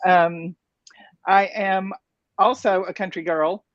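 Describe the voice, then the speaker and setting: A woman's voice: lowish voice, steady tone, slow pace, dry recording. A woman from Alabama, a guest on a video call, introducing herself a little shyly. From central Alabama. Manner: shy, hesitant. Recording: muffled video call audio.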